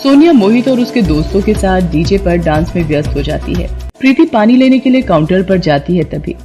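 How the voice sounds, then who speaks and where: Woman, story narrator, dark mellow tone, mid pitch, scripted delivery. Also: lively intonation, fairly quick, faint music behind.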